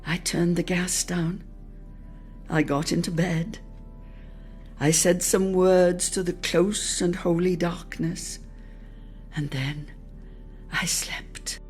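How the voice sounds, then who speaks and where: A woman giving a dramatic literary reading, measured and expressive, lingering on her phrases. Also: on the low side, faint music behind. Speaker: dramatic reader.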